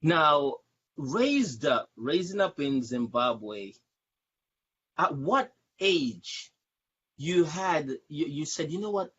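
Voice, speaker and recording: A man's voice: fairly high pitch, big pitch swings, slow, a bit bright, breathy edge. A man hosting a casual live-stream interview, repeating words and phrases for emphasis. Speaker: show host, interviewer. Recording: dull, lo-fi livestream audio.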